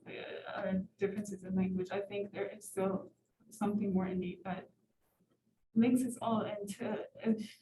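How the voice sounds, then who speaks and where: A woman's voice: medium pitch, noisy background. Young woman speaking from a far, echoey room. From Ethiopia. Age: 20s. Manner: hesitant, many fillers and restarts. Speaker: student audience member. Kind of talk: Q&A question from the floor. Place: university lecture room. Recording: roomy hall, far from the mic.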